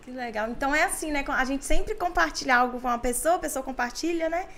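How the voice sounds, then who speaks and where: A woman's voice: high-pitched, quick pace, fairly bright tone. A woman hosting an interview who encourages and affirms her guest in a casual conversation. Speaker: interview host.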